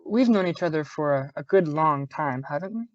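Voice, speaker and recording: Male police inspector, easygoing and teasing, radio drama, played by a young woman in a lower register; dry, lo-fi sound. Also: expressive, moderate pace, no room sound. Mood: earnest, hesitant. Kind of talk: old-time radio detective acting. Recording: dull lo-fi audio, very dry.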